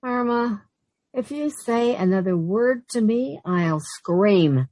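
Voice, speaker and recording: A woman's voice: medium pitch, lively intonation, slow pace, mellow, dry recording. An actress playing a wisecracking, exasperated but fond working woman in an old-time radio sitcom style, with wry delivery. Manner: wry, sardonic quips.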